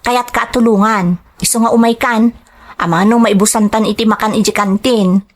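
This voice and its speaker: A woman's voice: a little high, fairly even intonation, normal speed, close to an everyday voice. A woman playing a friendly young female student in an audio drama, perky and playfully insistent.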